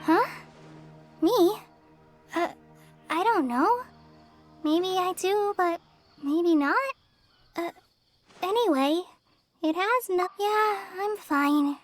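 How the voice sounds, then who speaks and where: Very high-voiced, flustered young ranger girl. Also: drawn-out words, pauses often, exaggerated voice. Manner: hesitant, stammering, flustered. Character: shy, anxious young female forest ranger. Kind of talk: video game character acting. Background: background music.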